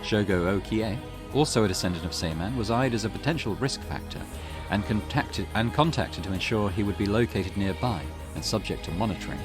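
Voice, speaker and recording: A man's voice: on the low side, fairly quick. A man hosting a gaming channel, reading a visual novel's text aloud and adding casual asides. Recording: game music playing behind.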